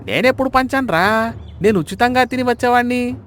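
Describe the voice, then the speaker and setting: A man's voice: very high voice, fairly quick, a bit bright, a little hiss or hum. A man voicing an indignant, easily frightened village miser in a dramatised storybook tale.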